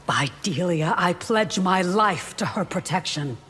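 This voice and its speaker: A woman's voice: fairly low pitch, animated, measured pace, crowd noise. A woman playing a devout, dutiful warrior bodyguard in a video game, speaking firmly and formally. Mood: firm, wary. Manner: firm, formal, wary. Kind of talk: video game character dialogue. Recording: reverberant, with heavy background noise.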